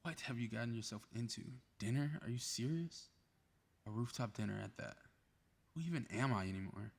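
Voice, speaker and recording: A man's voice: mid-range voice, fairly quick, vocal fry. A man playing a cocky, playful superhero in a flirty ASMR roleplay audio drama, with casual teasing banter. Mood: muttering, self-doubting.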